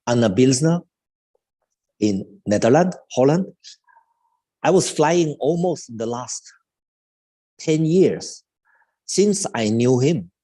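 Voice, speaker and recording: Man, 50s, Chinese accent, native Chinese speaker, cello teacher, live online chat, unhurried delivery, husky. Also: mid-range voice, mellow. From China. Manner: halting, with many restarts and fillers.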